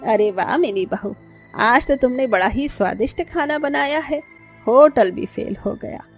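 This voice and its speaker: A woman's voice: fairly high pitch, unhurried, slightly rough. Older mother-in-law, played by a woman, firm but caring, emphatic cartoon delivery, music underneath. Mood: hearty.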